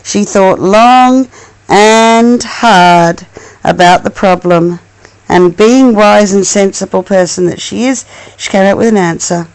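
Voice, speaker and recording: A woman reading a storybook aloud as a mother to her young child, expressive and affectionate, with coaxing asides. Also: medium pitch, slow pace, clear, resonant tone, poor audio.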